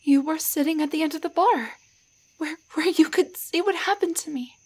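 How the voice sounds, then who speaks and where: High-voiced timid waitress turned doll, acted by a woman. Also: darkish tone, natural voice. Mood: surprised. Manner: halting, breathy, stammering. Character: timid young waitress shrunken into a doll, shaken and grateful. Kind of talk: roleplay audio drama monologue.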